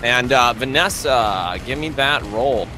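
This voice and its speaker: A man's voice: medium pitch, lively intonation, takes it slowly, over music. A man narrating a tabletop roleplaying game off the cuff as game master, in a casual, sweary way. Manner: casual, profane. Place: live-streamed game session.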